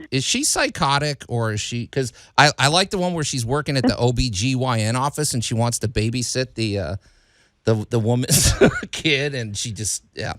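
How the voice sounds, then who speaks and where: Male radio host from Chicago, mid-pitched, easygoing, dry studio sound. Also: fairly bright tone, no room sound. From Chicago, USA. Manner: easygoing, halting, many fillers. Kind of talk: casual radio interview.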